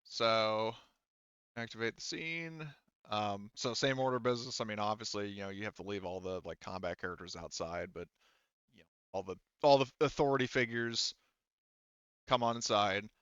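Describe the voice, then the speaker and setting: Male game master, smooth clear voice, dry close sound, slightly dull audio. Casual, halting tabletop narration. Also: lowish voice, fairly bright tone, no echo.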